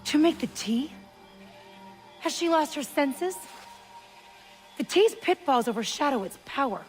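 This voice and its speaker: An animated, fierce warrior mother. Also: high-pitched, a little slow, soft background music. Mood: urgent warning. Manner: earnest, forceful, emphatic. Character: fierce, protective warrior mother, strong-willed and urgent. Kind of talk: dramatic video game acting.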